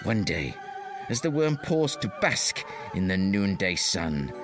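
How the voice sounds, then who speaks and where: A man performs an old teller of tall tales for a comedy podcast. His delivery is hammy and mock-gothic, with doddery asides and extremely animated swings in pitch. Music plays clearly behind him. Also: slow pace, exaggerated voice. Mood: ominous, dramatic. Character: theatrical old male storyteller of tall tales.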